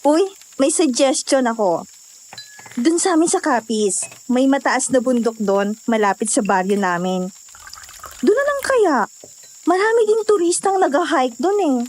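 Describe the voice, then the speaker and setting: A woman's voice: high voice, animated, measured pace, a little mellow, slight background noise. A woman with a Filipino accent playing a cheerful, skeptical young hiker in a conversational audio drama. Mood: chatty, dismissive.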